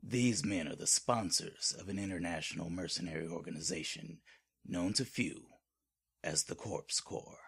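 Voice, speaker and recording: A man's voice: low-pitched, normal speed, gravelly, a bit bright, breathy edge. A man reading scripted horror narration in an ominous, dramatic manner.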